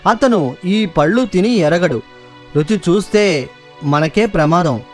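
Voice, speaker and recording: A careful monkey king in a children's cartoon story. He speaks with measured authority as a protective leader, music playing softly behind. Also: high-pitched, animated. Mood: foreboding. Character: cautious, wise monkey king guarding his troop.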